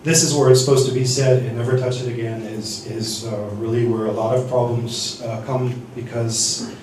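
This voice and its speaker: Halting man teaching in an echoey hall. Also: medium pitch, fairly even intonation, a little mellow, recorded from a distance, a little hiss or hum. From United States. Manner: halting, many restarts and fillers. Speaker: seminar speaker. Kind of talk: teaching sound-desk basics. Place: church hall.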